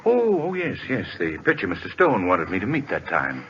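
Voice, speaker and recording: A man's voice: low voice, wildly swooping pitch, normal speed, a bit bright, heavily acted. A man playing a stern, suspicious and easily baffled father in 1940s radio drama style. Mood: baffled, gruff. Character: stern, suspicious, bewildered middle-aged father. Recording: dull, faintly noisy old radio broadcast.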